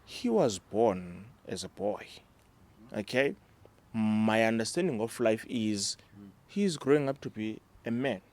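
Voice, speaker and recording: A middle-aged South African man from the Eastern Cape, a native Xhosa speaker, speaking in a measured, reflective way about fatherhood and often checking in with his listeners. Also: lowish voice, very animated, a little mellow. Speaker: discussion panelist, father. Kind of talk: casual reflective discussion.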